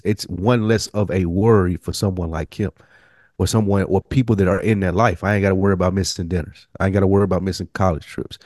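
A man's voice: low voice, a little mellow, no room sound, clean studio sound. An American man co-hosting a casual podcast, debating frankly in slangy speech with loose grammar. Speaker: podcast co-host.

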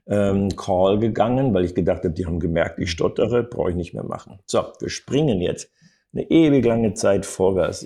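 An older man telling anecdotes as an interview guest, in an animated storytelling manner with frequent little tag words. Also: fairly low pitch. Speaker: acting coach and interview guest.

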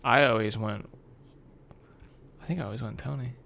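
A young adult American man with a voice of middling pitch. He chats as a podcast co-host, informal in his wording and quick to state an opinion. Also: vocal fry, a bit bright. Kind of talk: casual chat.

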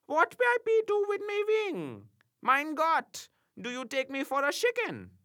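A man's voice: high voice, very animated, slow, sharp tone, voice pushed up, full character voice. A man voicing a pompous, quarrelsome male angel who speaks thick, broken English with a German accent. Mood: indignant. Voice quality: thick broken English, rolling mock-formal phrasing.

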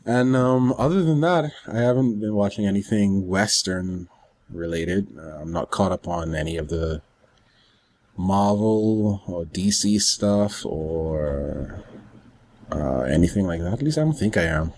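A man with a fairly low voice co-hosts a podcast. He talks in a casual, rambling way, halting as he gathers his thoughts. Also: expressive, a little mellow. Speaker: podcast co-host. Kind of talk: casual chat about anime and games.

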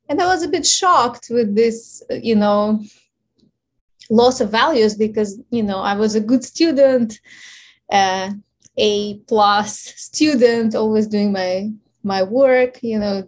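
A woman with a Ukrainian accent speaks at an ordinary middle pitch. She sounds thoughtful and conversational as a podcast guest, and laughs often between her thoughts. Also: darkish tone. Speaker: guest, intimacy coach. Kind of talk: reflective conversational storytelling.

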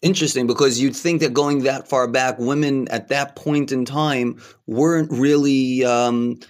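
A man hosting a comedy podcast, asking questions slowly and deadpan. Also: mid-range voice. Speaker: podcast host.

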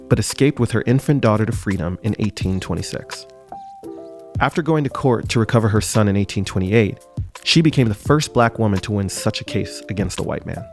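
A man's voice: on the low side, a little mellow. Man narrating a history segment. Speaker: podcast host.

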